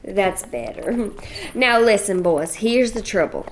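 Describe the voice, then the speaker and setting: A woman's voice: medium pitch, slow pace, a little hiss or hum, close to an everyday voice. A woman voicing a bossy, blunt, middle-aged widow from a cattle ranch, speaking. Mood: bossy, folksy. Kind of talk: radio play acting.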